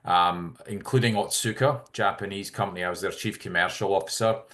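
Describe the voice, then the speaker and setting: A middle-aged Scottish man with a low-pitched voice speaks as an interview guest. He explains things in a measured, articulate way, in an easy conversational register. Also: fairly bright tone. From Scotland. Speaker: podcast guest, tech company CEO.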